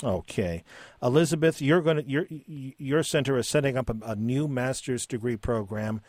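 A man's voice: medium pitch, normal speed, darkish tone, no room sound. A man hosting a radio talk show who asks curious questions and stumbles a little over his words. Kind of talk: radio interview.

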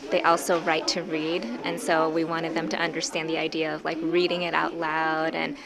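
A woman's voice: low-pitched, monotone, moderate pace, creaky, crowd noise. A woman educator explaining a classroom project in an interview clip, in a plain-spoken way.

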